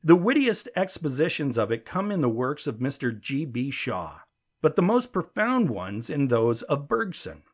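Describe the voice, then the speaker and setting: A man's voice: medium pitch, very animated, moderate pace. Guy reading an audiobook essay, voice swings up and down a lot, kinda dull lo-fi sound. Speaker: audiobook narrator.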